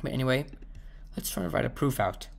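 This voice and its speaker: A man with a sore, croaky throat, thinking aloud as he works through a math problem and slowing down as he types the proof. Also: mid-range voice, animated. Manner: thinks aloud, slows down while typing. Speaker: math tutor. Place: at a computer, screen recording.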